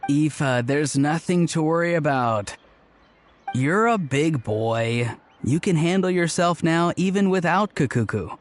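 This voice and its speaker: Highish-voiced, reassuring male friend. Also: animated, a little slow. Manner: reassuring, gently teasing. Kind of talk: video game voice acting.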